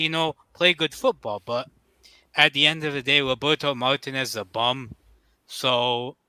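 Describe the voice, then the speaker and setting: A young man talks football in a loose panel chat, stopping and restarting often with plenty of fillers. His voice sits a little high and the sound is dry and close. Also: bright, forceful tone, dry recording, rough recording. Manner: rambling, hesitant, many fillers and restarts.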